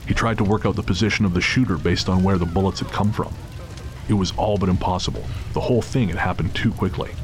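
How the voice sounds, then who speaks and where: A man's voice: low voice, steady tone, fast. A man narrating a scripted dramatic story as the narrator of an audio drama. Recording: clear background noise of city ambience.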